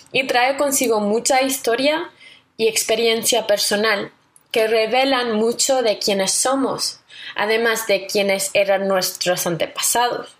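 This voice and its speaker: A young woman from Connecticut with an American accent, hosting a radio series in a careful, measured, scripted way with small self-corrections. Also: on the high side, fairly bright tone. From Connecticut, United States.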